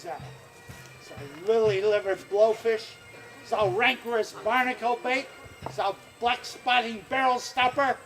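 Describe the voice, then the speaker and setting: A man's voice: extremely high pitch, takes it slowly, fairly bright tone, soft background music, heavily acted. A man playing a mocking pirate wit. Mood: taunting. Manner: mocking, playful insults. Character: witty male pirate swordsman, mocking and quarrelsome.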